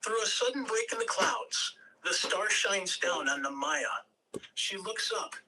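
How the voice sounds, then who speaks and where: A person reading stage directions in scripted narration at a table read, with measured delivery. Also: medium pitch, expressive, sharp tone. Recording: distant mic, faint background noise.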